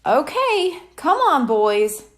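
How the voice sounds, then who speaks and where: A woman's voice: high voice, big pitch swings, takes it slowly, fairly bright tone, voice pushed up, exaggerated voice. A woman voicing a reluctant, good-natured mother in a children's story, polite but firm. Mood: hesitant, polite.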